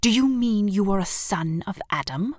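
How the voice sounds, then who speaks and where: A woman's voice: medium pitch, lively intonation, normal speed, darkish tone, dry recording, studio quality, natural voice. A woman voicing a haughty, impatient, menacing witch queen for a children's storybook. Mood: imperious, scornful. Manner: imperious, demanding. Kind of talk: children's audiobook character voice.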